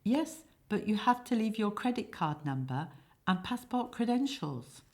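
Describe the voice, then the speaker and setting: A woman's voice: mid-range voice, big pitch swings, a little slow, darkish tone, pitched above the performer's own voice. A woman voicing a brash hired killer in a comic stage play, punching key words with a salesman's delivery. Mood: businesslike, salesy.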